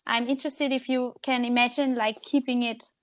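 High-pitched austrian woman interviewer, rambly hesitant questions, video call. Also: level tone, normal speed.

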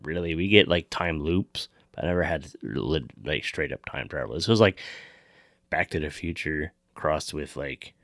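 A man's voice: a bass voice, moderate pace, a bit bright, no echo, clean studio sound. A man hosting a podcast in casual, informal chat, swearing freely. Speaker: podcast host.

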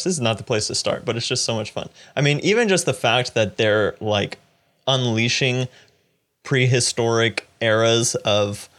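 A man's voice: mid-range voice, a bit bright. A man hosting a podcast, chatting casually about films. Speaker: podcast host. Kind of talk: casual film discussion.

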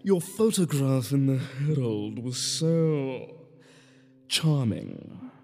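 A man voicing a smug animal villain in an audio drama, unhurried and condescending, with reverb around him. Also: mid-range voice, lively intonation, darkish tone. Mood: smugly sinister. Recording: hall reverb, faint music.

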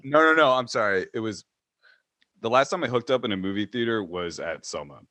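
Sheepish young man with a low voice. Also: expressive, a little fast, pushed and bright, no room sound. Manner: hesitant, sheepish, trailing off. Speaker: podcast co-host. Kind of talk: casual podcast chat.

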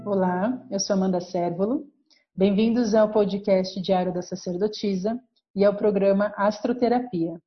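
A woman's voice: mid-range voice, steady tone, moderate pace, dull audio, loud music behind the voice. A woman with a Brazilian Portuguese accent, an astrologer hosting a podcast, explaining her subject step by step in a teaching manner. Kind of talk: podcast lesson.

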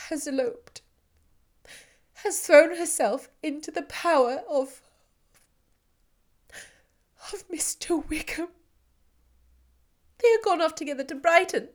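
A woman's voice: high voice, a bit bright, pitched above the performer's own voice. Woman voicing a distraught, tearful young woman. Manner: agitated, breathless, pleading. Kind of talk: audiobook character reading.

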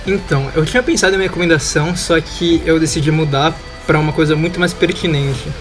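A young Brazilian man with a fairly high voice chats casually as a podcast panelist. He rambles along in loose slang, with faint music behind him. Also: fast. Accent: Brazilian Portuguese. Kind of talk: casual chat.

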